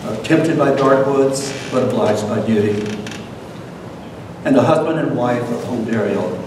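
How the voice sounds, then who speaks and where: An older man giving a scripted lecture introduction from a podium. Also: medium pitch. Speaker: lecturer, event host. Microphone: far camera. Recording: echoey noisy hall from far off.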